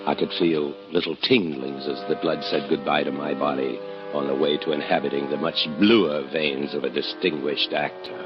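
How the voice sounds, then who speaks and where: Deep-voiced, brooding, resentful man. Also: fairly even intonation. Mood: dreamy, confessional. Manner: hesitant, halting, dramatic. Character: brooding, resentful playwright confessing a secret revenge. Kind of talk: old-time radio suspense drama acting, first-person narration. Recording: muffled old radio recording with loud music.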